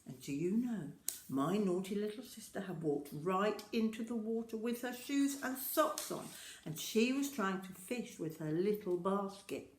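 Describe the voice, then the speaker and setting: Older lady reading kids storybook, really animated up and down voice, echoey room. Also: mid-range voice. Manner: addresses young listeners directly, deliberate and expressive. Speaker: storyteller reading aloud to children. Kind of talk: children's storybook narration. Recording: roomy, echoing home-style recording.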